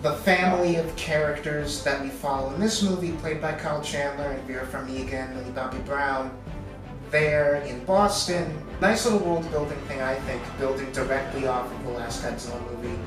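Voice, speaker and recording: A man's voice: medium pitch, fairly quick, far from the mic. A man hosting a podcast, casually talking movies with a friend. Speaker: podcast host. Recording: echoey room, off-mic, music underneath. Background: music.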